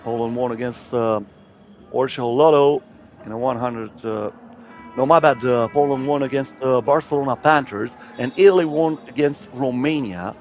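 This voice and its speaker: A man's voice: mid-range voice, moderate pace, darkish tone, lo-fi sound, faint music behind. A man giving live play-by-play commentary on a basketball game as a sports commentator.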